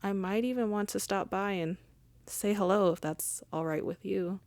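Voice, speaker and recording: A woman's voice: mid-range voice, moderate pace, a little mellow, dry recording, close to an everyday voice. A woman playing a wry, charming female mafia boss in an intimate ASMR roleplay, conversational and teasing. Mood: apologetic, reassuring. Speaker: audio roleplay voice actor.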